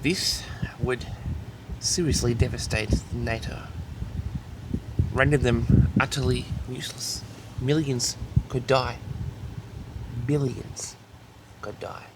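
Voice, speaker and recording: A man's voice: medium pitch, fairly even intonation, slow pace, long pauses, very noisy. Self-important man lecturing his audience. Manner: self-important, grandiose, keeps addressing the audience. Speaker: host of a comedic audio log. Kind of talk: mock-serious monologue to an audience.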